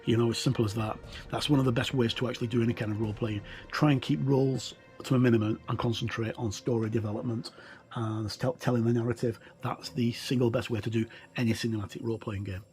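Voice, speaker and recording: A middle-aged man giving an informal explanatory walkthrough as a gaming reviewer, halting as he thinks aloud. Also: medium pitch, steady tone, darkish tone, soft background music.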